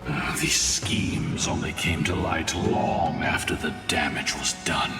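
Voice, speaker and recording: A man narrating a game's history in a grave, measured way. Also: lively intonation. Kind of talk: solemn scripted game narration. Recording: deep echoing reverb under game music.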